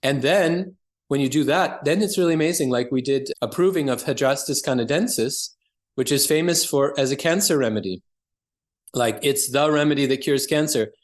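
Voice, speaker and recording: A man's voice: mid-range voice, normal speed. A man speaking as an interview guest, explaining things conversationally and earnestly as a practitioner.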